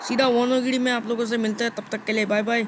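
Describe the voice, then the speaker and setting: A man's voice: very high-pitched, level tone, fast talker, a bit bright. Indian guy doing casual gaming commentary, repeats himself, english words mixed in, noisy amateur recording. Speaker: gaming video host. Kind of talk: casual live gameplay commentary. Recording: noisy amateur screen recording with ambient sound.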